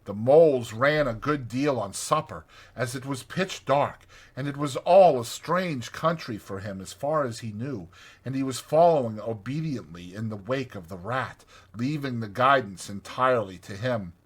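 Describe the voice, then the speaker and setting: A man narrating a classic storybook in an expressive, theatrical way. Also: mid-range voice, moderate pace, slightly rough. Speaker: audiobook narrator.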